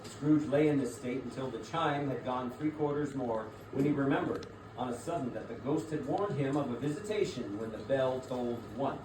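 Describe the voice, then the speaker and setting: Male narrator for a staged literary reading, medium pitch, recorded from afar with clear background noise. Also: normal speed. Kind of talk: scripted theatrical storytelling narration. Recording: distant echoey room, clearly noisy.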